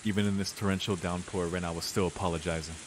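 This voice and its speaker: A man's voice: sits low, fairly even intonation, fast. A young man, a let's player reading game narration aloud with casual reactions. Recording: clear rain and hiss behind.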